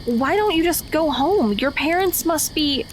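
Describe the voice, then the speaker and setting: A woman's voice: high-pitched, animated, unhurried, slight background noise. A woman acting in an audio drama as an anxious, talkative teenage girl who questions everything and stammers when startled. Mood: puzzled, insistent.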